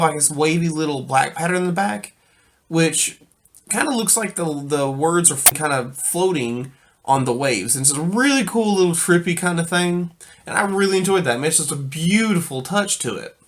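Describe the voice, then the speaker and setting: A man's voice: fairly high pitch, fairly bright tone. A man giving an informal video product review in an enthusiastic, emphatic and folksy conversational way. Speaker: audio gear reviewer.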